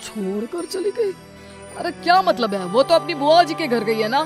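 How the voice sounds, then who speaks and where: A woman voicing a concerned family elder in an acted moral story, expressive and fussing. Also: sits high, moderate pace, over music. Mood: puzzled, worried. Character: concerned family elders of a dreamy daughter-in-law.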